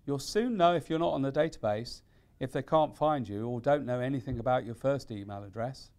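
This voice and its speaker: Man giving deliberate, instructional advice. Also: mid-range voice, darkish tone. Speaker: careers consultant giving tips. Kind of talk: instructional presentation.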